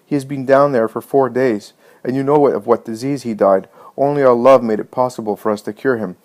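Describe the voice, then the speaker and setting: A man's voice: mid-range voice, fairly quick, darkish tone, lightly acted. A man reading a practical, grieving woman in a story, holding back tears as she respectfully protests. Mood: distressed protest. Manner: tearfully restrained, protesting. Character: distressed, practical grieving sister objecting respectfully.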